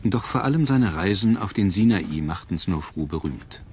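A man narrating a scripted history documentary in a measured, dramatic storytelling manner. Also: low voice, animated, a little mellow, slight background noise. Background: prominent music.

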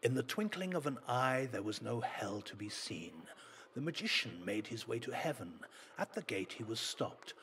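A man reading a folk tale as a storyteller, in a dramatic, deliberate manner. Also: mid-range voice, very animated, fairly bright tone, a little hiss or hum.

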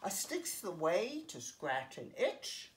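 A woman in her fifties reading a picture book aloud to young children, expressively, pausing to explain things simply. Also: fairly low pitch, wildly swooping pitch. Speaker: children's story time host. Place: home living room, indoors.

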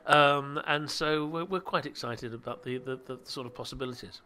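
A man's voice: mid-range voice, normal speed, bright, forceful tone. A man answering interview questions about producing audio dramas, hesitant, with many fillers and restarts.

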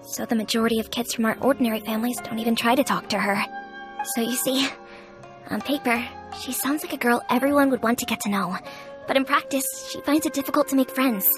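An actress playing a friendly, talkative young restaurant owner in a video game, speaking in a confiding way. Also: female voice, on the high side, fast, lightly acted. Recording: game audio with music bed.

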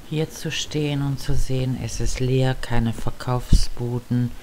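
Man doing slow travel voiceover, calm and reflective, faint hiss behind. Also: medium pitch. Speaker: voice-over narrator.

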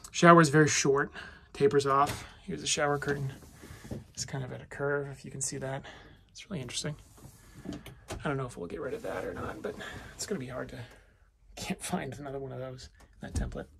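A man's voice: medium pitch, fairly quick, creaky, long pauses. A man giving a casual, off-the-cuff walk-through tour in an informal, self-deprecating way, recorded on a handheld device inside a small vehicle. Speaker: vlogger and owner giving a tour of a vintage motorhome. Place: inside a small vintage motorhome. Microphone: handheld phone or camera, held by the speaker. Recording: noisy handheld recording with clicks.

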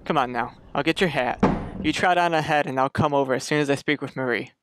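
An actor playing a loyal but incredulous friend in a radio mystery, excitable and wry. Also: male voice, medium pitch, fast, background noise, lightly acted. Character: incredulous, loyal friend drawn into grave robbing. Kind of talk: radio mystery drama acting.

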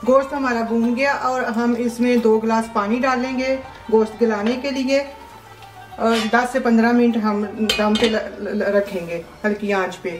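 A woman hosting a home cooking video, giving plain, unhurried step-by-step recipe instructions with short pauses between ingredients. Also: fairly high pitch, fairly even intonation. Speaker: cooking show host. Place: home kitchen. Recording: echoey room with background music.

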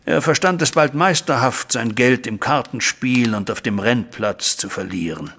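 An actor playing a troubled middle-aged banker, a widowed father, recounting events formally and deliberately in a German audio drama. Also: medium pitch, creaky, fairly bright tone. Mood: regretful. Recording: music bed behind voice.

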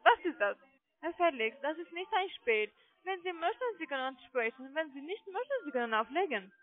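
A woman with a foreign accent in halting German, working as a call centre agent and insistently questioning a customer. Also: high-pitched, big pitch swings. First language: not German. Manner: halting, non-native phrasing, insistent questioning. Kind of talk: scripted cold-call sales pitch over the phone. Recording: tinny narrowband phone line.

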